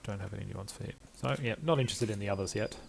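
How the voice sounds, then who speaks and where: A man's voice: lowish voice, racing, creaky, background noise. A middle-aged man playing a video game, thinking aloud and reading out menus as commentary. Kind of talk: let's play commentary. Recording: faint game ambience behind voice.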